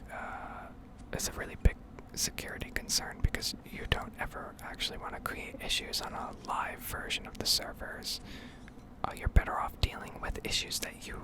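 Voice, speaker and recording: A woman speaking in a breathy whisper, giving unhurried, meandering ASMR commentary over gameplay. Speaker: gaming ASMR video creator.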